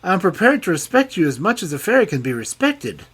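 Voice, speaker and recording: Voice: medium pitch, big pitch swings, fast, a bit bright, breathy edge, pitched above the performer's own voice. A storybook narrator voicing a stubborn, passionate young king who speaks in a raised, emphatic voice. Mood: defiant.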